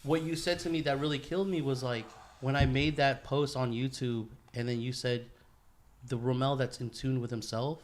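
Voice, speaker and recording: A man's voice: mid-range voice, fairly even intonation, moderate pace. Earnest man on a casual podcast. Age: 30s. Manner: earnest, emphatic, slangy with swearing. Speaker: podcast host. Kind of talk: casual heartfelt podcast conversation.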